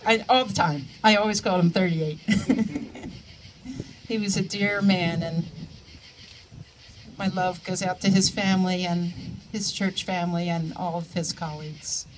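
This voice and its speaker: A woman in her sixties giving a memorial tribute to a coworker, speaking haltingly with many pauses and fillers. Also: fairly low pitch, steady tone, noisy background. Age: 60s. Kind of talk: memorial remarks.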